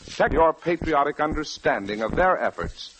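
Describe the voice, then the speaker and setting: American male announcer, animated pitch swings, earnest formal ad read, 1940s radio, noisy recording. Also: normal speed.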